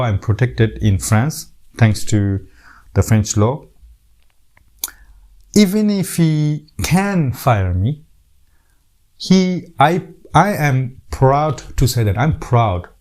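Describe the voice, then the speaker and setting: A man's voice: mid-range voice, a little mellow. A middle-aged man from Bangladesh with a Bangladeshi accent, giving earnest casual advice to camera, often repeating words and restarting his sentences. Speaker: YouTube host.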